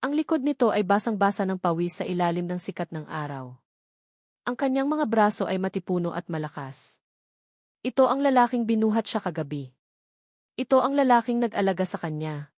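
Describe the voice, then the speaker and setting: A woman reading a scripted dramatic story in measured, deliberate short phrases. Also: medium pitch, sing-song, a little mellow, dry recording, clean studio sound. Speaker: audiobook narrator.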